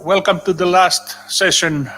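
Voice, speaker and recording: A man's voice: high voice, steady tone, measured pace, a bit bright. A man from Finland with a Finnish accent, speaking as a session chair and introducing a speaker at an online conference. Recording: echoey hall sound with clear background noise.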